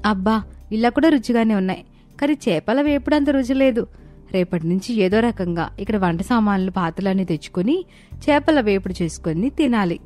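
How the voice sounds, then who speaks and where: A woman acts out a young, food-mad daughter-in-law from a cartoon family story. She is sly and conspiratorial, speaking expressively in a middle-pitched voice over music. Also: expressive, fairly quick, a little mellow, lightly acted. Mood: gleeful, sneaky. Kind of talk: dramatized moral-story cartoon acting.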